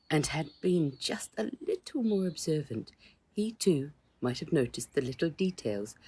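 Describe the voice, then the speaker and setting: A woman reading her own children's story aloud in a measured way, with dramatic stresses in the dialogue. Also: rumbling low, a little mellow, breathy edge. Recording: noticeable background noise.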